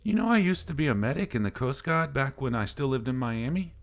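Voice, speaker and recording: A man's voice: medium pitch, lively intonation, fairly quick, voice pushed up, natural voice. A man voicing a boastful drunken barfly, a former Coast Guard medic, telling coarse, rambling tales in a horror narration. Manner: slurred, coarse, rambling talk.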